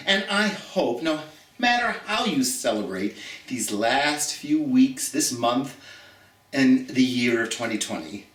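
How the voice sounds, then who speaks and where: An American man with a fairly high, very lively voice hosts storytime for children. He speaks theatrically and stretches out his key words. The sound is roomy and echoey. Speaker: storytime host. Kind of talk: children's storytime, reading and chatting to viewers. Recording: roomy, echoey, distant from the mic.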